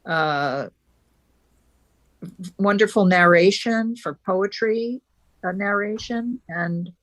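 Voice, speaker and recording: A woman's voice: medium pitch, slow, dry recording. A woman hosting an online event, introducing a speaker with many hesitations and restarts. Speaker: event host.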